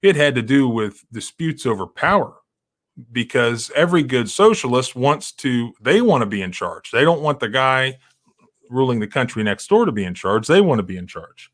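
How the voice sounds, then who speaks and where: A man's voice: mid-range voice, big pitch swings, moderate pace, a bit bright. Rambling man hosting a live stream. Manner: rambling, many restarts and fillers, emphatic. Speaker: civil rights lawyer hosting a live stream. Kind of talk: casual live-stream commentary.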